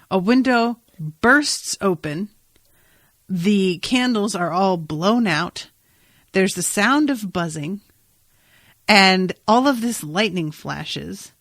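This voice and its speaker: A woman's voice: mid-range voice, dry recording. Wry woman recapping a show, dry close sound. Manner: wry, sweary, animated retelling with acted-out quotes. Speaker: podcast host. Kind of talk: casual recap chat about a TV episode.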